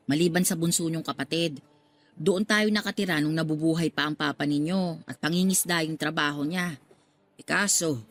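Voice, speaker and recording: An actress playing a talkative, motherly middle-aged working-class woman in a radio drama, expressive and given to exclaiming. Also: on the low side, close to an everyday voice. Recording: music under the voice.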